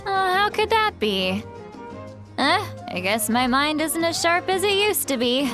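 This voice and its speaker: A woman's voice: very high voice, very animated, full character voice. A woman voicing an ancient, self-mocking sorceress in a video game who still sounds like a young woman. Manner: unhurried, teasing, self-mocking. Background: game music.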